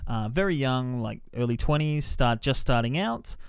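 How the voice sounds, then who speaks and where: A man's voice: mid-range voice, expressive, normal speed, creaky, clear, resonant tone, no echo. Clean, dry-sounding man reviewing films casually. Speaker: podcast host and film reviewer. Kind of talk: casual film review chat.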